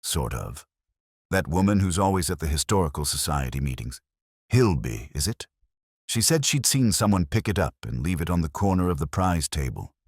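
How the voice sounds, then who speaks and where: A man's voice: a bass voice, sing-song, normal speed, slightly rough, dry recording, clean studio sound, exaggerated voice. Man voicing a composed, casual teenage shop girl. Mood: matter-of-fact. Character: lively, composed nineteen-year-old female florist's assistant.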